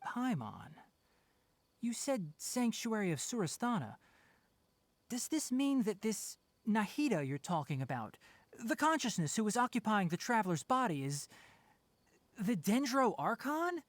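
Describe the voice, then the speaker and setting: A woman voicing a composed young male scholar in an anime video game, measured, articulate and thoughtful. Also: mid-range voice, sing-song, no room sound. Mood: questioning.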